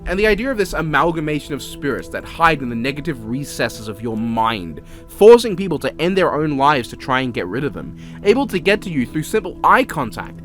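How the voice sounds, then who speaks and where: An Australian man delivers casual, opinionated review commentary with plenty of swearing. His pitch sits a little high and swings around animatedly. Soft music plays underneath the narration. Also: very animated, brisk, few pauses. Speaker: video reviewer.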